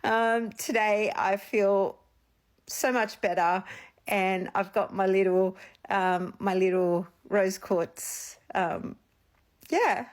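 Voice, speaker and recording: A woman's voice: medium pitch, steady tone, takes it slowly. A woman, a psychic medium, chatting casually and rambling through a personal story on her vlog, with a slight sniffle after being ill. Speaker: psychic medium and channel host.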